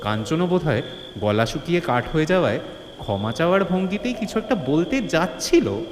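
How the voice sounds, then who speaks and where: A man's voice: fairly high pitch, big pitch swings, a little fast. A man narrating a scripted horror-comedy story in a theatrical, suspenseful style. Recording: reverberant, faint ambient noise.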